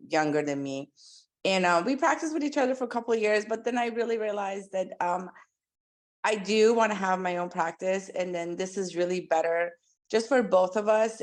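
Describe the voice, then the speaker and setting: A woman in her forties, born and raised in Iran, a pediatric dentist chatting as a podcast guest with lots of likes and ums. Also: fairly low pitch. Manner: chatty and animated, frequent "like" and "um". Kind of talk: casual interview storytelling.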